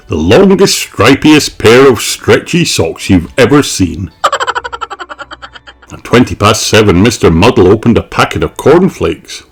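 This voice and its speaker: A man's voice: fairly low pitch, big pitch swings, unhurried, noisy background. A man reading a children's storybook aloud expressively, with theatrical emphasis on key words. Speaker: storybook reader.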